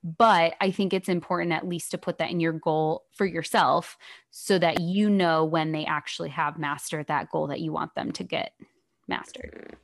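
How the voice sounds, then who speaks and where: A woman co-hosting a professional podcast, explaining practical tips in casual chat while her voice keeps going in and out and she clears her throat. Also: deep voice, fairly even intonation, normal speed, dry recording, clean studio sound. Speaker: podcast co-host, speech-language pathologist.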